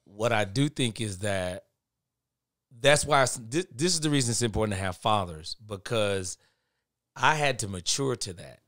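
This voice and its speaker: A man's voice: lowish voice, animated, normal speed, no echo, clean studio sound. Big-voiced middle-aged man, earnest and candid. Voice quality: big, naturally forceful voice. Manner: earnest and candid, speeds up and swells in volume when making a point. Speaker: guest, father of boys. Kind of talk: casual podcast conversation.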